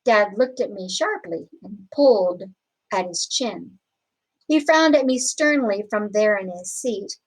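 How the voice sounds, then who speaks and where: A woman reading a children's picture book aloud expressively, with deliberate, dramatic phrasing. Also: medium pitch, expressive, breathy edge, poor audio. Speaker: storybook reader.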